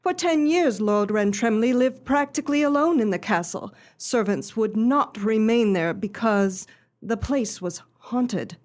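Radio drama client: genteel young lady, played by an actress, earnest, formal, fairly high voice. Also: measured pace, darkish tone, close to an everyday voice. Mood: earnest, grave. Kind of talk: radio play acting.

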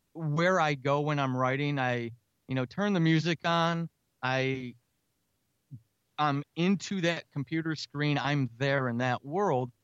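A man talking as an interview guest, reflecting earnestly and self-critically on his craft in halting, explanatory phrases. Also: on the high side, a bit bright, smooth, no room sound, dull audio. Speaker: guest writer and podcaster.

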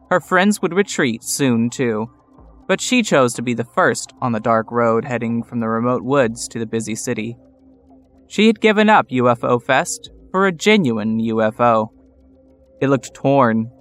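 A man's voice: medium pitch, a bit bright. Wry male storyteller over music. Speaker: narrator. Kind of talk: scripted dramatic story narration. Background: music score.

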